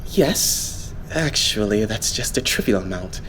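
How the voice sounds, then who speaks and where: A man voicing a polite, composed young idol leader in an anime fandub, explaining things in a measured way. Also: lowish voice, lively intonation, a bit bright, a little hiss or hum, low-quality recording. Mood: calm explaining. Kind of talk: anime game fandub acting.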